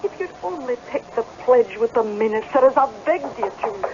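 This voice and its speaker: Over a muffled old radio recording with faint noise, a woman plays a Scottish farmer's wife with a high voice. She is sharp-tongued and long-suffering, forever scolding in exasperation. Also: lively intonation, moderate pace, lightly acted. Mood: exasperated scolding. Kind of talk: radio drama acting.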